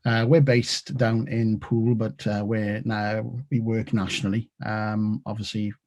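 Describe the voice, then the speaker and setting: Welsh man giving a relaxed online seminar. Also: on the low side, a little slow, no room sound. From South Wales. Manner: relaxed, filler-heavy, conversational. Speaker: technical presenter. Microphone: video call.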